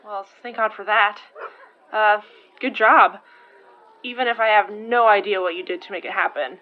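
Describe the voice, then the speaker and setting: Casting: actress as wry, protective young female sidekick for audio fiction, informal and blunt, medium-pitched voice. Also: fairly even intonation, normal speed, phone-quality sound, a faint crowd, lightly acted. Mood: relieved, wry. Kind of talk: audio fiction dialogue acting.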